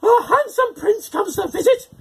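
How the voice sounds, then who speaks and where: A storyteller voicing a wicked, possessive witch in a shrill, screechy, declaiming storybook voice. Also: lively intonation, vocal fry, full character voice. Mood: enraged. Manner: drawn-out, declaiming.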